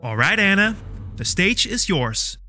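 A man's voice: sits high, very animated, a little slow, sharp tone, faint music behind. A man hosting a mock talent show in an upbeat, showman-like way. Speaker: host.

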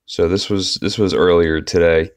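A man's voice: low voice, steady tone, moderate pace, clear, resonant tone, no room sound. A man giving a casual, unscripted walkthrough of an app as a tutorial presenter.

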